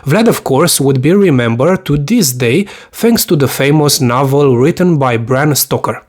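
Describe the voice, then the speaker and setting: A man's voice: mid-range voice, expressive, unhurried, darkish tone. A man from Romania with a Romanian accent, speaking English as a second language as he narrates a scripted review in an earnest, explanatory way. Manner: earnest, explanatory, slightly formal phrasing. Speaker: YouTube host, mythology teacher.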